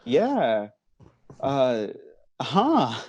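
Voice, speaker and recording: A man hosting a movie podcast in casual chat, informal and halting, often repeating his words. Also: medium pitch, wildly swooping pitch, breathy edge, no room sound, dull audio. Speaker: podcast host.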